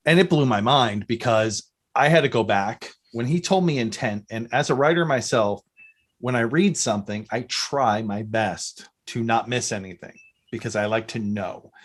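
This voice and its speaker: A middle-aged man chatting casually as a podcast guest, telling rambling, animated stories. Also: mid-range voice. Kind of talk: casual interview chat.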